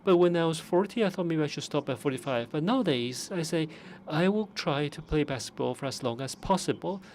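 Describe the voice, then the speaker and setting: Man interpreting forum talks, fairly high. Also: expressive, darkish tone, slight background noise. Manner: measured, with frequent pauses and restarts. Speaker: conference interpreter. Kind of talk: simultaneous interpretation of forum speeches.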